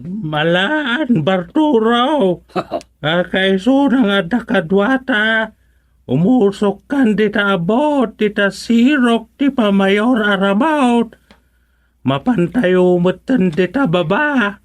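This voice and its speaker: An actor playing a grave village elder in an Ilocano radio comedy drama, with an Ilocano accent and deliberate, drawn-out phrasing. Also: mid-range voice, lively intonation. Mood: instructing.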